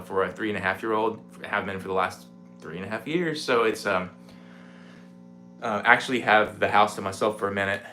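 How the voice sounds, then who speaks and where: A man in his forties chatting casually on a home livestream, rambling with lots of fillers, with a mellow voice. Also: lowish voice. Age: 40s. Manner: rambling, relaxed, many fillers. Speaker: livestreaming guitarist. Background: guitar playing.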